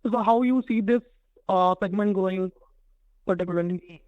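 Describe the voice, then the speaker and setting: A man's voice: high voice, moderate pace, no echo. A man, an analyst asking polite, deferential questions on a muffled lo-fi call line.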